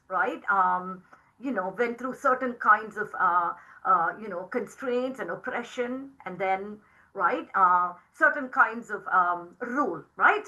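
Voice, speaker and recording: Professor, woman, middle-aged, first language Tamil; bright tone, measured explanatory lecture delivery. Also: a little high, poor audio. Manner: frequent tag questions, measured and explanatory. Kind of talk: academic lecture.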